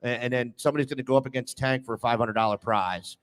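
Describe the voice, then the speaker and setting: A man's voice: medium pitch, steady tone, fast talker, clean tone. A man hosting a live sports radio show with casual, teasing banter. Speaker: sports talk radio host.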